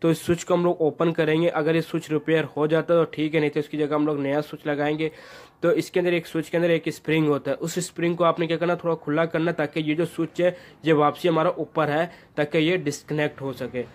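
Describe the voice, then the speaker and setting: A man's voice: on the high side, flat delivery, fast. A man narrating an informal hands-on repair tutorial, guiding viewers step by step in an instructional way. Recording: noticeable background noise.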